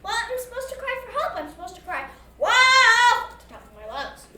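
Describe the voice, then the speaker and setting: A woman's voice: extremely high pitch, sing-song, normal speed, a loud crowd. A woman playing a gullible, mischievous young shepherd boy in a children's stage fable, with a naive, hesitant manner. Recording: distant, echoey room with music behind.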